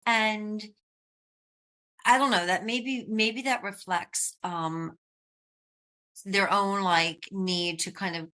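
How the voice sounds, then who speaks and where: A woman's voice: mid-range voice, steady tone, slow pace, pauses often, bright, forceful tone. A woman from the United States hosting a podcast interview, chatty and reflective, laughing often. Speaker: podcast host. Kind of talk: casual interview chat.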